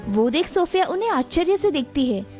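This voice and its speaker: A woman's voice: extremely high pitch, big pitch swings, a little fast, darkish tone, soft background music. A woman narrator reading a scripted moral story for children.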